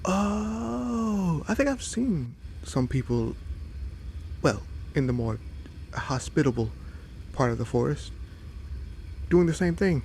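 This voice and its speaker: A man voices a friendly forest fox boy in an audio drama, speaking slowly and haltingly with a gravelly creak. He is shy, apologetic and curious, over clear background noise. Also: fairly high pitch, expressive, a little mellow, full character voice. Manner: hesitant, halting, apologetic, wide-eyed. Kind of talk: roleplay audio drama, addressing the listener.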